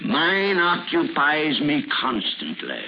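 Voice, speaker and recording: A man's voice: a little high, wildly swooping pitch, takes it slowly, full character voice. An actor playing a cold, miserly, grumpy old businessman in old-time radio drama, speaking in a curt, scornful, grudging way. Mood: scornful, dismissive. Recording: muffled, noisy vintage radio broadcast.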